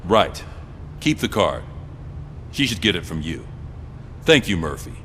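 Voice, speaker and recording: A man's voice: sits low, very animated, unhurried, pushed and bright, exaggerated voice. A man playing a stern but caring police sergeant in a video game, earnest and plain-spoken. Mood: earnest, gruff resolve. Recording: long reverb of a garage, noisy vehicle ambience.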